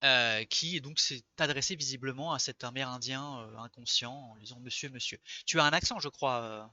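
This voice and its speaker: A man's voice: medium pitch, fairly quick, pushed and bright, dry recording, highs cut off. A man narrating a tabletop role-playing game as game master in an informal, conversational way.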